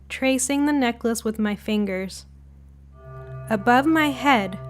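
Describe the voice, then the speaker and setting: A woman narrates a short story in the first person. Her voice sits a little high, and soft music plays behind her scripted, storybook-style reading.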